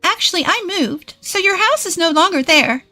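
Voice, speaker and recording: Woman acting out a high-pitched theatrical mother-in-law. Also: lively intonation, normal speed, pushed and bright, soft background music, heavily acted. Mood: gloating. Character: spiteful, gloating mother-in-law, older woman, turns whiny and panicked.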